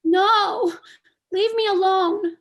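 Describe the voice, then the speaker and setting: A woman playing a frightened, abandoned little girl in a stage play reading, speaking in a halting, plaintive, repetitive way. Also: extremely high pitch, fairly even intonation, full character voice. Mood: defiant.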